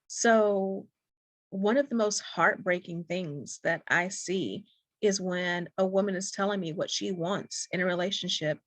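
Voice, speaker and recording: A young adult woman and dating coach, speaking softly and encouragingly in a direct-to-camera talk. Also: fairly low pitch, measured pace, no echo. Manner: soft-spoken, warm and encouraging.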